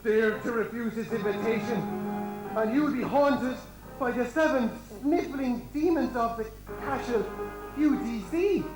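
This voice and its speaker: A man's voice: extremely high pitch, unhurried, music in the background. A man playing a cowardly, nervous royal servant in an amateur stage pantomime, stuttering, exclaiming and repeating himself. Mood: frightened. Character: jittery, cowardly, food-loving royal servant called Windbag. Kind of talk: broad amateur pantomime acting. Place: hall stage. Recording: echoey stage recording.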